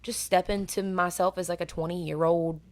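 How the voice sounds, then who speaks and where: A young woman with a naturally raspy voice, telling stories in an earnest, rambling way as a singer being interviewed. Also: lowish voice, steady tone, normal speed. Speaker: guest singer. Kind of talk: casual interview chat.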